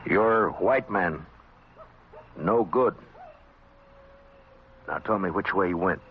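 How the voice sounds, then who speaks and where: An actor playing a stern, laconic frontier marshal with an American accent, terse and steady, in an old radio western. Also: male voice, low-pitched, takes it slowly, a little hiss or hum. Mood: stern, urgent. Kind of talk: old-time radio western acting and first-person narration. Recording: muffled old radio broadcast.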